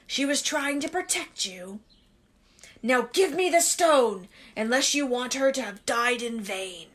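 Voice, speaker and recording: A woman voicing a cruel male dark wizard who hisses threats and shrieks commands while reading a novel aloud. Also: on the high side, expressive, measured pace. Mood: menacing. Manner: hissing, menacing, shrieking commands.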